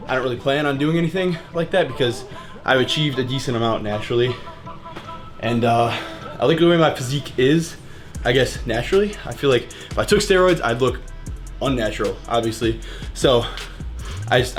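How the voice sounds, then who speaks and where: A nineteen-year-old man with an American accent, chatting casually and informally as he answers viewer questions in a fitness vlog. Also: medium pitch.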